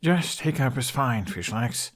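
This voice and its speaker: A man's voice: medium pitch, lively intonation, a little slow, husky, voice pushed up. A man playing a sensible, sarcastic young prince in a storybook with a wry, reasonable manner. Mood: wry, concerned.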